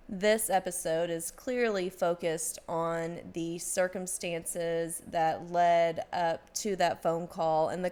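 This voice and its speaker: A woman's voice: lowish voice, clean tone. A woman hosting an interview podcast, speaking in an earnest, articulate and emphatic way.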